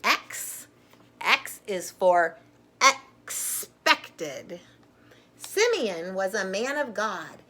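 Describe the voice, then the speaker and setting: A woman's voice: medium pitch, very animated, drawn-out words, a bit bright. A woman storyteller reading a children's story aloud with simple, emphatic phrasing and informal asides to young listeners.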